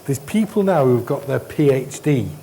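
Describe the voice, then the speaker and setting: A man's voice: mid-range voice, expressive, moderate pace, vocal fry, warm tone, slight background noise. A middle-aged man teaching endoscopy hands-on, giving short blunt instructions and dismissive asides. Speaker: endoscopy trainer. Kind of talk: hands-on teaching, coaching a trainee. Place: hospital endoscopy training room.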